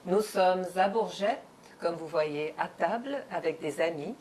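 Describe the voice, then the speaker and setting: A woman hosting a dinner-table interview in a home, speaking slowly and carefully as she searches for words. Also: lowish voice, a little mellow, slight background noise. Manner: slow and measured, searching for words. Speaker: host. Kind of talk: hosted interview.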